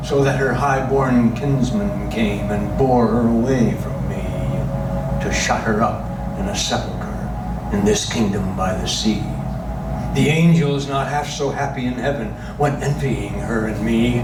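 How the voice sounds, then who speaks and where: A man performing a dramatic poetry reading in a lyrical, expressive storytelling manner. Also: medium pitch. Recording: distant, echoey, noisy room recording.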